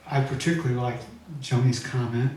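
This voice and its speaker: Guy musician talking off the cuff, halting, super echoey room, clear noise behind. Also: medium pitch, steady tone, darkish tone, far from the mic. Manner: hesitant, halting, many pauses. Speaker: musician addressing a memorial gathering. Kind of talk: informal remarks before performing. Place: indoor event room with a live gathering.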